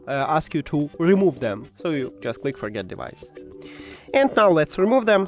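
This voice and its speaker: Non-native English man, lively higher-pitched tutorial voice. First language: not English. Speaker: tech tutorial presenter. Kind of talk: step-by-step spoken tutorial.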